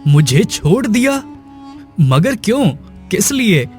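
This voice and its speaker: Male actor as humble cartoon farmer: earnest, questioning, higher-pitched, unhurried. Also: big pitch swings, faint music behind, exaggerated voice. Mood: bewildered. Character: poor, bewildered farmer, husband and father. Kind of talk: Hindi cartoon moral story acting.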